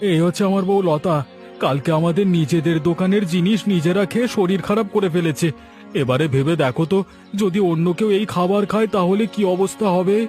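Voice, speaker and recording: A man voicing an excitable village shopkeeper who cheats and repents in a moral cartoon, earnest and given to exclaiming. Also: high-pitched, a little fast, faint music behind, lightly acted. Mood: remorseful. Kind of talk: Bengali moral cartoon acting.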